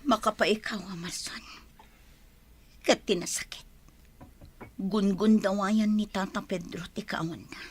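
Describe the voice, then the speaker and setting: A woman's voice: medium pitch, fairly even intonation, unhurried, long pauses, slightly rough. A woman with an Ilocano accent acting a grieving, anguished village daughter in a radio drama. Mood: subdued grief.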